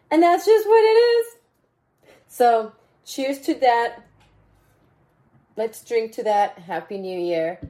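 A woman with a raspy voice hosting a motivational podcast, talking casually and mentoring her listeners, often repeating phrases. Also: fairly low pitch. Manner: warm mentoring tone, repeats phrases, bursts of laughter. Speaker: podcast host.

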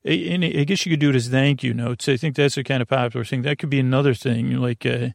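A man with a lower-middle, creaky voice full of fry, recorded close and dry. He hosts a sleep podcast in a rambling monologue. He meanders, halts and trips over words as he drifts from one thought to the next. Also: fairly even intonation, no room sound. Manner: meandering, halting, trails off and stumbles over words. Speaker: podcast host.

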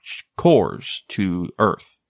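A man's voice: sits low, very animated, extremely slow, gravelly, dry recording, studio quality. A man hosting a casual chat, rambling and often searching for the right word.

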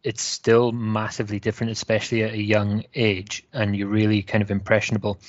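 A man's voice: lowish voice, monotone, fairly quick, no echo, lo-fi sound. A man from Wales who speaks with a Scottish accent, a podcast guest answering thoughtfully with frequent restarts. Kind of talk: casual podcast chat.

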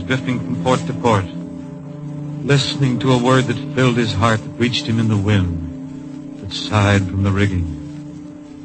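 A man's voice: fairly low pitch, natural voice, rough recording. A man acting as the solemn, poetic narrator of a historical old-time radio drama. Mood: somber. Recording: vintage radio recording with loud music. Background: dramatic music.

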